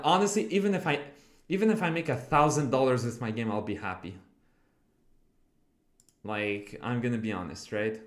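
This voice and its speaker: A man's voice: mid-range voice, roomy sound. A man in his twenties chatting casually on a live stream, rambling with lots of fillers and swearing as he answers his chat. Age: 20s. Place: home room.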